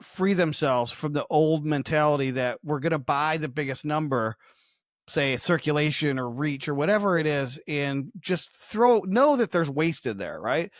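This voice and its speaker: Guy cohosting a podcast, bit higher pitched, chatty commentary, very dry close sound. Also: lively intonation, measured pace, dry recording. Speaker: podcast co-host. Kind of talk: conversational commentary.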